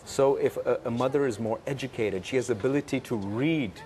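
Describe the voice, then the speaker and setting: Male interview guest from Pakistan, mid-pitched, halting delivery, slight background noise. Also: darkish tone. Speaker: interview guest, cartoon producer. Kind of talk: interview answers. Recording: faint background room noise.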